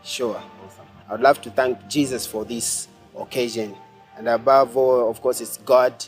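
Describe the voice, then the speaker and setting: A man's voice: mid-range voice, level tone, measured pace. Earnest man from Zambia, faint music. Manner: earnest, reflective, some restarts. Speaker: gospel musician. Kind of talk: documentary interview. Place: outdoors among bushes.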